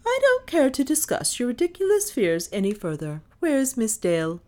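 A woman voicing a brisk, no-nonsense older mistress of the house, crisp, decisive and ironic, in an audiobook. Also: low-pitched, very animated, heavily acted. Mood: imperious, sharp. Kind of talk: audiobook character dialogue.

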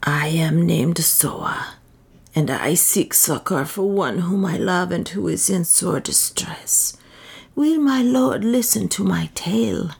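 A woman doing a creaky, whispery old crone. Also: fairly low pitch, animated, slow pace, exaggerated voice. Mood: earnest. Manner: archaic, pleading, half-whispered speech. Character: haggard old woman wanderer, starving and pleading. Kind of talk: audiobook character reading.

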